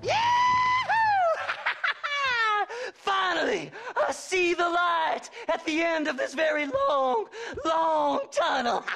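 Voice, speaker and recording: A man's voice: very high-pitched, big pitch swings, very slow, raised voice, over loud music, heavily acted. A man voicing a deranged, greedy grave-robbing treasure hunter in a video game, with a rural Southern American accent and a manic, erratic, stammering manner. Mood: manic glee. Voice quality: gravelly, cackling. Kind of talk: video game character acting.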